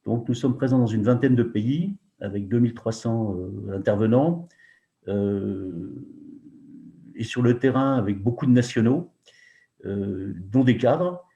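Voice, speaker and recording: An older man, founder of a humanitarian organization, introducing himself on a webinar video call in a measured way, listing facts with frequent hesitations. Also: mid-range voice, warm tone, muffled recording. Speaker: founder of a humanitarian NGO, panelist.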